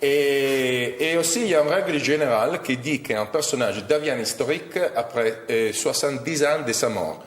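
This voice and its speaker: A man's voice: mid-range voice, normal speed, few pauses, echoey room, in a large hall. An Italian man with an Italian accent, a guest actor giving an interview, hesitating often as he searches for his French words. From Italy.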